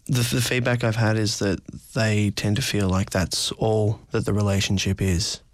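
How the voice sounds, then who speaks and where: A man's voice: lowish voice, level tone, moderate pace, no room sound. Hesitant young man, very dry sound. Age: 20s. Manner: hesitant, reflective. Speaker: interviewee. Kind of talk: personal interview.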